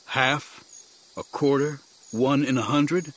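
A man voicing a grim, stern warrior-monk master in an audiobook, low and tersely commanding. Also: slow.

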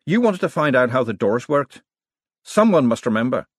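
Man voicing a brisk, short-tempered woman. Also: medium pitch, very animated, dry recording, clean studio sound, pitched above the performer's own voice. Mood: impatient, assertive. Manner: blunt, brisk, assertive.

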